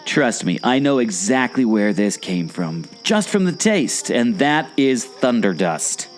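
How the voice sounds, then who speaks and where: A man playing an excitable, skeptical, wisecracking amateur explorer in a scripted audio drama, with a theatrical, jokey delivery. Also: mid-range voice, a little slow, soft background music. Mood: playfully boastful. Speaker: co-host of a theme park podcast.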